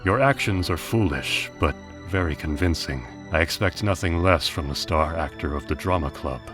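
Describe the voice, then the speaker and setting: A cold middle-aged business patriarch from an anime drama, acted by a man, speaks with formal restraint. His voice sits very low and gives little away, over clearly audible music. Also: moderate pace, close to an everyday voice. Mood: cool, measured. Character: cold, detached middle-aged male deer business magnate and father. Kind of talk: dramatic anime fan dub acting.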